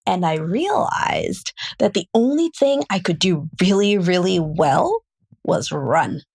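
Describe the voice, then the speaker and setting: A woman's voice: fairly low pitch, lively intonation, slow pace, gravelly, darkish tone, breathy edge. A woman from Cameroon who is a reproductive endocrinologist, speaking as an interview guest in a blunt, plain-spoken, joking way. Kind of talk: casual interview conversation.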